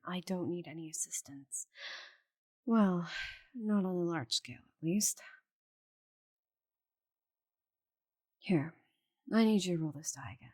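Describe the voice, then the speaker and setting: A haughty giant female dragon, acted by a woman for an ASMR roleplay, speaks in a low, breathy voice. She is stubborn and possessive, guarding her secrets and often dropping into a whisper. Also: mellow. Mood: evasive, commanding. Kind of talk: intimate ASMR roleplay acting.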